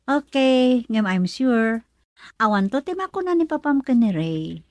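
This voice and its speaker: A lively, frank young best friend. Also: female voice, fairly high pitch, measured pace, no echo. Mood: frank, curious. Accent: northern Philippine. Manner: animated, questioning. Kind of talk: Ilocano radio drama acting.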